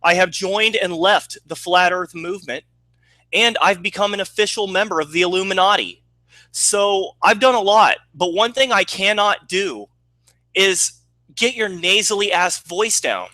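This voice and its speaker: Nasal, bright-voiced mocking YouTuber man. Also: high-pitched, a little slow, no echo, low-quality recording. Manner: boastful, sarcastic, crude. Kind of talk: mocking monologue to camera.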